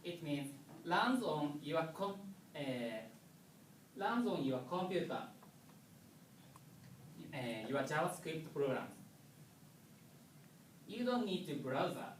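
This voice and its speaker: Slow Japanese-accented man presenting. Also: on the high side, big pitch swings. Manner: halting, many filler pauses and restarts, simple phrasing. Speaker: conference presenter. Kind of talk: technical presentation. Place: lecture room. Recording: echoey room, distant mic, faint noise.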